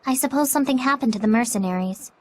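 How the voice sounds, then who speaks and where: A woman's voice: on the high side, quick pace, a little hiss or hum, lightly acted. An actress playing a blunt, cynical, teasing girl spirit in a video game, with a dry, sardonic manner. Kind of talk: English video game dub acting.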